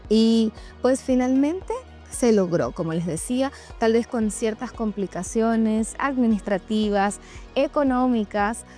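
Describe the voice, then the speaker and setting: Higher-voiced woman over music. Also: measured pace, warm tone. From Venezuela. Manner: candid, reflective, addressing listeners directly. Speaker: host of a personal podcast or vlog. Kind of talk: personal reflective monologue to followers. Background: background music.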